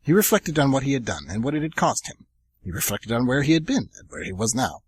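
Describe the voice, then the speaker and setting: Lively, husky-voiced male storyteller. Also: mid-range voice, fast. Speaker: radio drama narrator. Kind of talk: scripted storybook narration.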